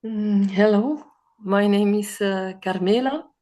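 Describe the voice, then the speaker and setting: A woman's voice: mid-range voice, takes it slowly, warm tone. A woman from Italy introducing herself hesitantly in an online circle. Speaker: circle participant. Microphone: video call.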